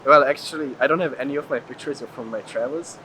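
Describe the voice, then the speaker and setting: Casting: male traveler from Hungary, casual rambling interviewee, mid pitch, clear ambient noise. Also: fast talker, bright, forceful tone, rough recording. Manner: frequent "like" filler, rambling. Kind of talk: casual chat.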